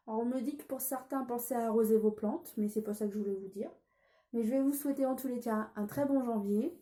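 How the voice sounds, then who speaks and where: A woman's voice: fairly high pitch, fast, warm tone, reverberant. A woman with a cold giving a casual tarot reading, hesitant with many fillers and restarts. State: has a cold. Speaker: tarot card reader.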